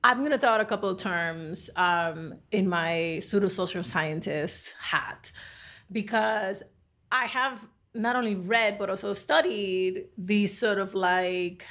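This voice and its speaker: A woman's voice: medium pitch, gravelly, a bit bright. A woman hosting a podcast, asking earnest, reflective questions of her guest. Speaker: podcast host. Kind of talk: podcast interview.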